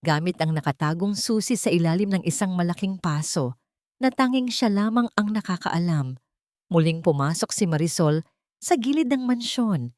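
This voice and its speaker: A woman's voice: lowish voice, lively intonation, normal speed, a little mellow, dry recording, clean studio sound. A woman narrating a scripted dramatic story as an audiobook, with a solemn, emotive delivery.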